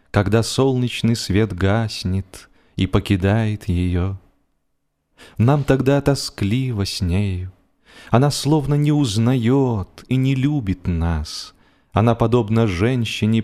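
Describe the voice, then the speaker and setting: Slow-paced male storyteller. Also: on the low side. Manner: expressive, measured storytelling with deliberate pauses. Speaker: audiobook narrator. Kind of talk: dramatic scripted narration of humorous literary prose.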